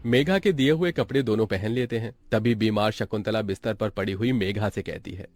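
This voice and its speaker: A man's voice: fairly low pitch, fairly quick, no room sound. A man reading scripted narration as the narrator of a story.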